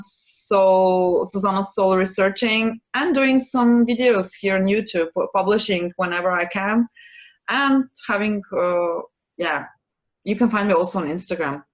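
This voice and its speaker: A woman's voice: mid-range voice, dull audio. Slovene-accented woman, hesitant and giggly. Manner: hesitant, many restarts and laughs. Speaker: quantum healing practitioner, co-host. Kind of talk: casual chat to viewers.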